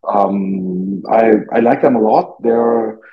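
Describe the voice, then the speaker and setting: A man's voice: on the low side, slow pace, muffled recording. A man from Germany with a German accent, a non-native English speaker, giving informal interview answers as a youth hockey coach and searching for words as he goes. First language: not English.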